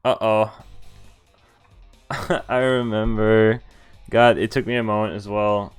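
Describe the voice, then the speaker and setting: A man's voice: on the low side, steady tone, takes it slowly, clear, resonant tone. A man streaming a game, reading a visual novel aloud and adding playful, chatty commentary that wanders into asides. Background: game music.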